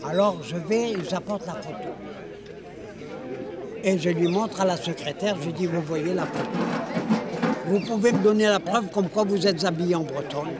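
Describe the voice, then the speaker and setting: An elderly man from Algeria, in his eighties, spins a lively anecdote for a circle of friends, his voice pitched fairly high. He talks in a loose, chatty way. It's a home-video recording made outdoors with a crowd chattering all around. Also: moderate pace, long pauses. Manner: lively, chatty, laughs while telling. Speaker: storyteller among friends. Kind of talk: casual anecdote. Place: outdoor gathering.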